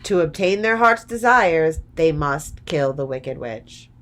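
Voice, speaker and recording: A woman narrating a children's story from a script, deliberately, phrase by phrase. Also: low voice, lively intonation, a bit bright.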